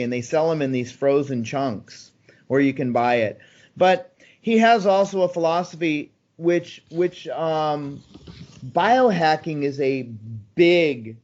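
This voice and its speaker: A man's voice: a little high, darkish tone. A man in his sixties, a guest in an interview, talking eagerly and persuasively with frequent ums and restarts. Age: 60s. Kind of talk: conversational interview answers. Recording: slightly dull, muffled sound.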